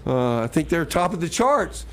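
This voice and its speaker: A man's voice: on the high side, big pitch swings, moderate pace, fairly bright tone. An American man hosting a radio show with casual, rambling banter and a hesitant, exclaiming way of talking. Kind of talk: casual radio banter and song back-announcing. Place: small radio station studio.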